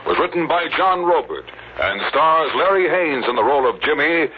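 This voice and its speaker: A man's voice: on the high side, very animated, normal speed. A man reading scripted programme credits as an announcer in formal 1940s radio style. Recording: muffled old radio, very noisy.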